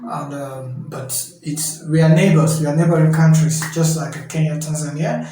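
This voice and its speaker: A Nigerian man in his thirties, a guest in a casual interview, talking haltingly with many fillers and frequent checks of "you understand?". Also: on the high side, mellow. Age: 30s. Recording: heavy echo with faint music.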